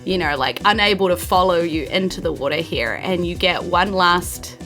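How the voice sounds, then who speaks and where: Woman, New Zealand accent, lowish pitch, game master, improvised narration, informal, encouraging, music underneath. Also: moderate pace, a bit bright. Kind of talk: improvised tabletop game narration and casual chat. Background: background music.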